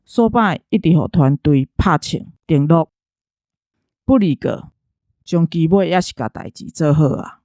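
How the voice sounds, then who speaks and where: A woman's voice: sits low, lively intonation, measured pace, warm tone, dry recording. A woman with a Taiwanese accent, an amateur voice actor, giving a casual thank-you talk to listeners. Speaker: amateur voice actor on an audio drama team.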